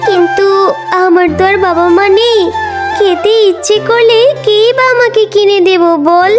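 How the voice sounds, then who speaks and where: A woman's voice: very high-pitched, a little slow. A woman voicing a meek, wistful orphan girl in a children's cartoon story, with a plaintive, childlike manner. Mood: wistful, friendly. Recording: music behind the voice.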